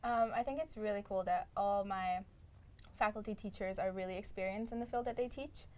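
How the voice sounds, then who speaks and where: A woman's voice: medium pitch, fairly quick. A young woman from Italy, chatting as a student guest with frequent "um"s. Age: young adult. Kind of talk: casual interview answers.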